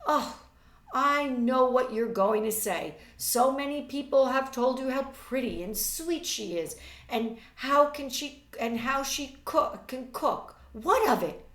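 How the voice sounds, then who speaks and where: A woman's voice: sits high, takes it slowly, fairly bright tone, pitched above the performer's own voice. A woman voicing an exasperated, catty eighteen-year-old society girl in a storybook reading, emphatic and scornful. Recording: roomy, distant webcam microphone.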